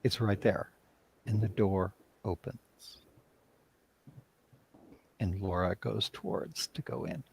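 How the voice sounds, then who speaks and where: A man talking casually on a livestream video call as a writer and director, telling things in a hesitant, halting way. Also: on the low side, gravelly, breathy edge. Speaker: writer and director, interview guest.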